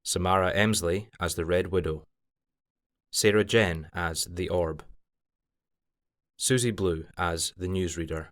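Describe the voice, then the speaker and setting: A man's voice: low voice, fairly even intonation, a little slow, pauses often, a bit bright. A man reading scripted closing credits as an announcer.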